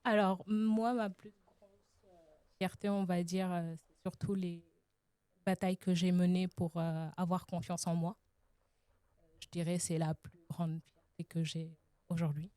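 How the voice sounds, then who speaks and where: A woman's voice: on the low side, level tone, unhurried, pauses often, dark tone, no room sound. A young woman from Senegal answering interview questions thoughtfully, with frequent hesitations. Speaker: guest, association founder.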